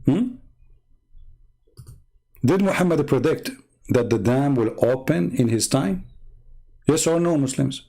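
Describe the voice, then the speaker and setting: A man's voice: mid-range voice, animated, slow, creaky, pauses often, mellow. A man who speaks English as a second language, hosting a mocking commentary on religious texts, sarcastic and emphatic, with rhetorical questions and repeated words. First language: not English. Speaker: host of a religious debate show.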